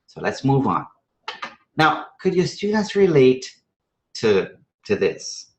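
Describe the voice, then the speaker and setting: Man, math teacher from Trinidad and Venezuela, very lively pitch, emphatic, conversational, rhetorical questions, medium-pitched voice, echoey room. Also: slow. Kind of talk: live instructional presentation to teachers.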